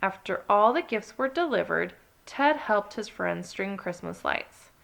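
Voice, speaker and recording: A woman narrating a children's read-along story with expressive, dramatic emphasis. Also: medium pitch, measured pace, gravelly.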